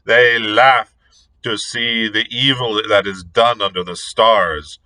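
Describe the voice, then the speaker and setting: A man voicing an ancient, cruel female witch, with a shrill falsetto and cackling laughter. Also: steady tone, drawn-out words, bright, forceful tone, dry recording, exaggerated voice. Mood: shrieking, cackling. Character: ancient shrieking hag witch, cruel and defiant.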